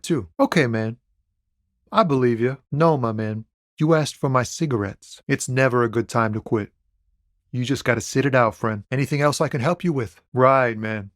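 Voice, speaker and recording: An actor playing a laid-back, philosophical truck driver and aspiring poet in a video game, talking in a musing, slangy way. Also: male voice, mid-range voice, no room sound, clean studio sound, natural voice. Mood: teasing, easygoing. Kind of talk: conversational video game character dialogue.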